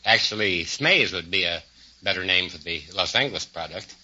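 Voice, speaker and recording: Low, very sharp-voiced man, matter-of-fact. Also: lively intonation, breathy edge. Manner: measured, technical, matter-of-fact. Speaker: quiz show contestant. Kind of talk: unscripted explanation and short answers. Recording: dull 1950s radio broadcast.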